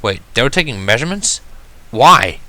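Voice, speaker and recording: Male amateur story reader, sharp bright tone, mid-pitched, expressive first-person narration. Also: normal speed, dry recording. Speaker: fan fiction reader.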